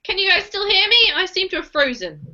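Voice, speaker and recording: A woman's voice: very high-pitched, big pitch swings, fairly quick, sharp tone, muffled recording. A woman teaching an online English class, chatty and encouraging with her students over a video call. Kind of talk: live online group class. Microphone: computer mic on a video call.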